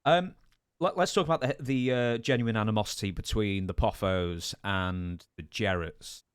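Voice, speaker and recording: A man's voice: fairly low pitch, moderate pace, smooth, no room sound, studio quality. A man hosting a podcast, putting questions to his guest in a casual interview style.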